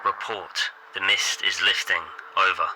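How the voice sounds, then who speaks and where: An actor playing a British army radio operator in the war, reporting watchfully in a dramatic transmission. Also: male voice, deep voice, little pitch movement, a little slow. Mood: watchful, urgent. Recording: very noisy crackling field-radio transmission.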